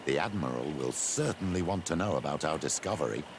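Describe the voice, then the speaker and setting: A man playing a gruff male companion in a video game cutscene. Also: a bass voice, expressive, fairly quick. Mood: concerned. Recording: noisy outdoor ambience behind the voice.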